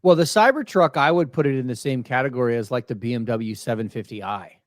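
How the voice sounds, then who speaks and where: A man from Texas talks shop in a loose, animated podcast chat, often restarting his sentences. His voice sits in a middle register. The recording is close and dry, with no room sound. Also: medium pitch, fast, dry recording. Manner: animated, many restarts, acts out quoted voices. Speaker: podcast co-host. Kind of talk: casual industry chat.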